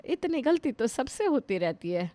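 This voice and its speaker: A woman's voice: sits high, big pitch swings, moderate pace, warm tone, dry recording. A woman playing an elderly, put-upon mother-in-law in a family drama, complaining and lamenting to God. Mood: resentful. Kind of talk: Hindi family drama acting.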